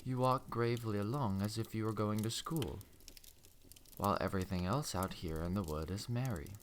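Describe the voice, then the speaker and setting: A man voicing a sly, wicked storybook wolf with a soft, courteous, coaxing manner. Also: on the low side, moderate pace, slight background noise, close to an everyday voice.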